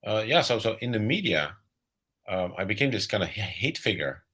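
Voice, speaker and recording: A man's voice: lowish voice, big pitch swings, normal speed, pushed and bright, husky. A middle-aged Dutch man with a Dutch accent, speaking reflectively as a guest on a live video-call interview, often repeating words as he thinks. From Netherlands. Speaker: guest author. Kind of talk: casual interview talk.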